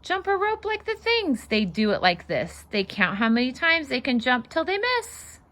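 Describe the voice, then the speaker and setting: A woman reading a children's storybook aloud in an expressive, sing-song way, with casual asides to her young listeners. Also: high voice, normal speed. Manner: animated, sing-song rhyming delivery. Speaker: children's read-aloud host and fitness instructor.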